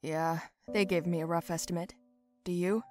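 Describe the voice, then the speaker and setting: A woman's voice: fairly low pitch, fairly even intonation, normal speed, a little mellow, soft background music, voice pushed down, close to an everyday voice. Woman reading a subdued, resigned young man. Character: gentle, resigned young man dying of illness.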